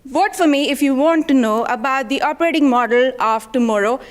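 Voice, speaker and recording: A woman giving a persuasive conference pitch and asking the audience for votes. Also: a little fast. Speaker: speaker pitching a talk.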